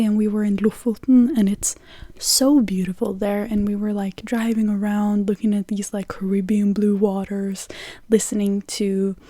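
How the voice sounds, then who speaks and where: Young Norwegian woman, mellow dry voice. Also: medium pitch, no room sound. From Norway. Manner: chatty, many "like" fillers, lists songs. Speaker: vlogger. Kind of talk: casual chat.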